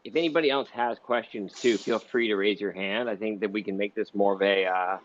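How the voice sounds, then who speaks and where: A man with a fairly low voice hosts a casual interview. He speaks hesitantly, with repeated false starts. The online audio is slightly dull with faint background noise. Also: fairly quick. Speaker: interview host. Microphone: online voice call. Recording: muffled, noisy online audio chat.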